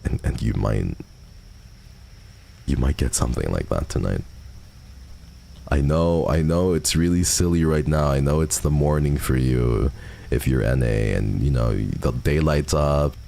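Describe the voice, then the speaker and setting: A man's voice: very deep voice, expressive, a little slow, gravelly, dark tone. A man hosting a livestream, chatting casually and answering viewer messages at a close microphone. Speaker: livestream host. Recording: faint background noise.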